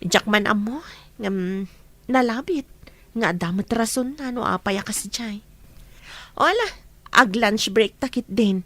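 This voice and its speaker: A woman's voice: medium pitch, measured pace, long pauses, a bit bright. An actress playing a kind, discreet young woman in a radio drama, earnest and solicitous. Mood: evasive.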